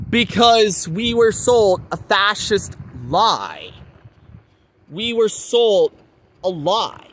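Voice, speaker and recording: Male vlogger, notably high voice, rambling and repetitive, informal address, faint rumbling noise behind him. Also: a bit bright. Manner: repetitive, emphatic phrasing. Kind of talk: rambling informal monologue to viewers.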